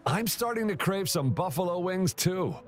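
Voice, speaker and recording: A man's voice: high-pitched, animated, moderate pace, soft background music. A man playing an eager, boyish young relay racer in a video game, bubbly, enthusiastic and easily flustered. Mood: keen.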